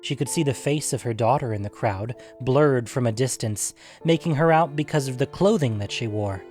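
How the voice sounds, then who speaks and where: A man narrating a scripted fantasy story in a measured, deliberate, dramatic way. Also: mid-range voice, darkish tone, soft background music. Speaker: narrator and host of an audio fiction show.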